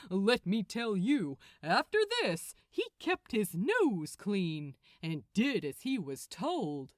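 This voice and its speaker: A smug, mocking male archangel from an audiobook story, played by a woman. He talks down to his listener with theatrical relish. The pitch swings freely and the sound is close and dry. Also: big pitch swings, slow, no room sound. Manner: condescending, chuckling, theatrical. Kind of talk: acted audiobook dialogue.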